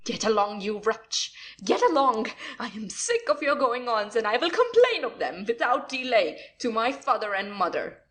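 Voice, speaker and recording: Casting: actress as young wife, high voice, dramatic, aggrieved and scolding, classic comedy audio play. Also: female voice, sing-song, normal speed, few pauses, fairly bright tone. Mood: scolding outrage. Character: scheming young wife playing the indignant victim.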